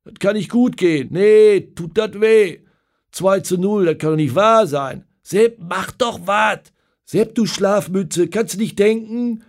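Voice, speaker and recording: A narrator putting on a furious middle-aged football fan, yelling high and loud in coarse bursts. The voice has a gravelly edge, and he rants in despair. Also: takes it slowly. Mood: outraged. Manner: shouting, ranting dialect-tinged outbursts.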